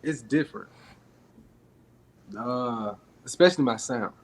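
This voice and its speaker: Raspy young man, projecting. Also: mid-range voice, lively intonation, unhurried. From Atlanta, US. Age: young adult. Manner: projecting his voice, laid-back with frequent fillers. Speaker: rapper guest. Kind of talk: casual interview talk.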